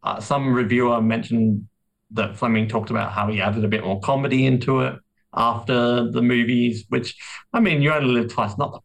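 A man with a middle-pitched voice talks as a podcast co-host. His delivery is casual and conversational, like an informal discussion among peers. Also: fairly even intonation, moderate pace, vocal fry, rough recording.

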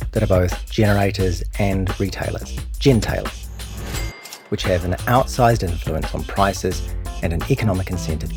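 A man with a New Zealand accent reading a scripted long-form news feature as narrator, in a measured, serious way. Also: low voice. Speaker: narrator of a long-read journalism podcast.

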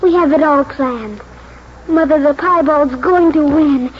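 A woman's voice: sits high, unhurried, slight background noise. A woman playing an eager, earnest young horse-loving girl in a 1940s radio drama, speaking breathlessly. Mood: fervent, determined. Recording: muffled old 1940s radio broadcast, music.

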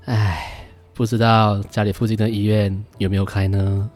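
A man plays an earnest male teacher in a radio drama, with a fairly low voice and a Malaysian accent. He is stern but caring and easily flustered, over a faint music bed. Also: level tone, moderate pace, a little mellow, close to an everyday voice. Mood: annoyed. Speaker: amateur voice actor. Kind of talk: Chinese radio drama acting.

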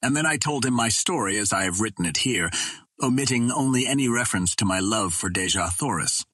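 Male audiobook narrator for first-person adventure fiction; lowish, husky voice, very dry sound. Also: expressive, a little fast, bright, forceful tone, no room sound.

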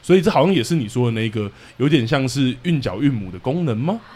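A man's voice: medium pitch, lively intonation, fast, darkish tone. A man with a Taiwanese Mandarin accent hosting a podcast in a casual, chatty style. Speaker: podcast host. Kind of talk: casual chat.

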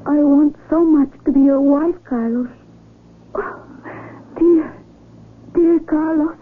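An actress playing a devoted young sweetheart in a radio drama, tender, imploring and melodramatic. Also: female voice, high voice, slow, phone-quality sound. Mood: pleading. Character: devoted young sweetheart, pleading gently to be married. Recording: thin, band-limited old radio recording.

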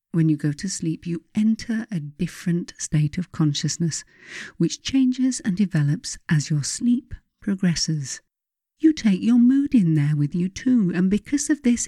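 Mellow woman reading, close and dry. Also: lowish voice, no room sound. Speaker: author reading own book aloud. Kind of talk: scripted audiobook narration.

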